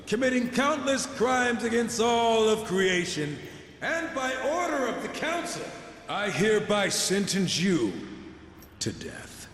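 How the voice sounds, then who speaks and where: Game hero: wry, self-assured young warrior, lively swings in pitch, echoey sound. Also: male voice, slight background noise, exaggerated voice. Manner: dry, flippant, self-assured. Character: cocky, wry young male horseman warrior. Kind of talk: scripted game hero acting. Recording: echoey, long reverb, faint music.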